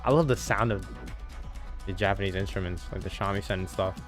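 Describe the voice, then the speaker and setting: A man chatting casually as he reacts to game music, excitable and thinking aloud as he ranks tracks. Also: deep voice, a little fast. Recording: music playing in background.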